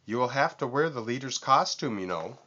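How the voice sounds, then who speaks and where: A man's voice: mid-range voice, animated, fairly quick, bright, forceful tone, muffled recording, a little hiss or hum, voice pushed up. A man voicing an eager, helpful male lion in a children's story, earnestly making suggestions. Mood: doubtful.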